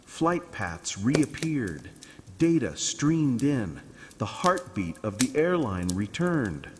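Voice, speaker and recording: A man narrating a scripted bedtime story in a calm, soothing, dramatic way. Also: mid-range voice, takes it slowly, long reverb tail, background noise.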